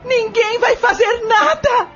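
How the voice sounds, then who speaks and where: An actress playing a compassionate, devout farm lady in an audio drama, earnest and emotive, with a husky voice. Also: very high-pitched, slow. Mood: desperate, tearful. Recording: dull lo-fi audio with music underneath.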